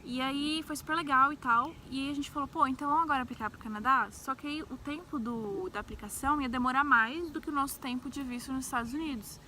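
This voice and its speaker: Young Brazilian woman, bright higher-pitched voice. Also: quick pace, few pauses. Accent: Brazilian, central-west. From Brazil, grew up in Brasília. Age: young adult. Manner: informal, peppers speech with tags seeking agreement. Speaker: travel vlogger. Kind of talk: casual, chatty personal storytelling. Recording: faint background noise.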